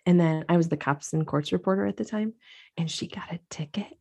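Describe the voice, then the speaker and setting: A woman's voice: lowish voice, a little fast, gravelly, a little mellow, breathy edge. A woman co-hosting a podcast, chatting casually with her sister, with lots of fillers and easy laughter. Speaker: podcast co-host. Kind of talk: casual chat.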